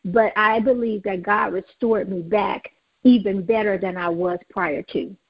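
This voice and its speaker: A woman's voice: medium pitch, fairly even intonation, a little slow, husky, highs cut off. A woman from Mississippi with a Southern accent, giving an earnest, faith-filled personal testimony as an interview guest, with frequent fillers. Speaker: guest, nonprofit founder.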